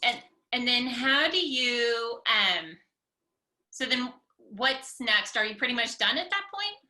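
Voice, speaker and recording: A woman's voice: fairly high pitch, animated, slow pace, pushed and bright. A woman hosting a casual interview, enthusiastic and encouraging toward her guest, recorded with roomy, echoing sound. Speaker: interviewer, host.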